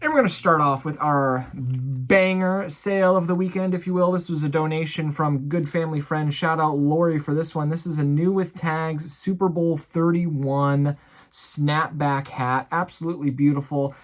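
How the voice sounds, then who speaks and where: A man's voice: on the high side, unhurried. Chatty American man recapping online sales. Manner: folksy, chatty showman addressing viewers as folks. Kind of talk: casual vlog recap of items sold.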